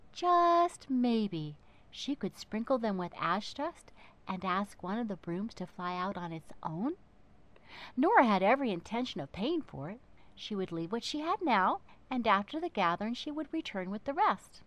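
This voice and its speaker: A woman narrating a children's book in an expressive, theatrical way, with dramatic emphasis and pauses. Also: a little high.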